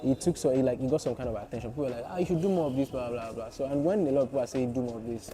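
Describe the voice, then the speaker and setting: A man with a soft voice, chatting playfully as a guest about his work. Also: medium pitch, rapid-fire. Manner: playful, joking storyteller. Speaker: guest content creator. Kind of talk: casual interview chat. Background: background music.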